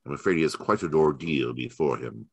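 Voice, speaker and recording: Guy doing a composed shrewd detective, old radio drama, deep voice that moves around a lot, polite and formal. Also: lively intonation, gravelly, slightly rough, no room sound, full character voice. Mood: courteous, assured. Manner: measured, courteous, old-chap formality. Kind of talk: old-time radio mystery acting.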